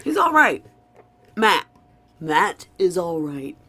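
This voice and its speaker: An actress playing a brash, loyal security colleague, slangy and teasing, in a science fiction audio drama. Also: female voice, fairly low pitch, big pitch swings, takes it slowly, pushed and bright, full character voice. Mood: casual.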